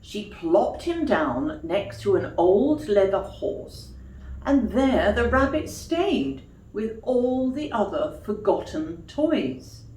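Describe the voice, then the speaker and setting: A woman storyteller reading expressively to young children like a teacher, pausing for questions and explanations. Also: mid-range voice, sing-song, mellow. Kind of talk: children's storytime read-aloud with explanatory asides. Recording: echoey room.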